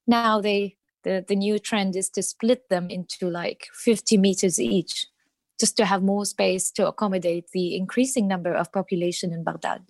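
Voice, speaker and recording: Woman in her 30s, interview guest, halting with fillers, dry close sound. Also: mid-range voice, steady tone, darkish tone, dry recording. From Iraq. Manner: hesitant, many fillers and restarts, laughs lightly. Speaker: guest, diplomat. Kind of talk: casual interview answers.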